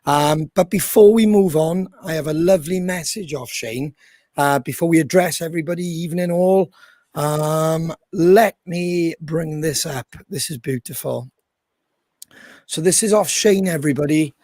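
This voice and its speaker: A hoarse, croaky man hosting his band's podcast in a chatty way, with frequent ums. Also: a little high, unhurried, darkish tone, no echo, clean studio sound. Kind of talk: casual chat.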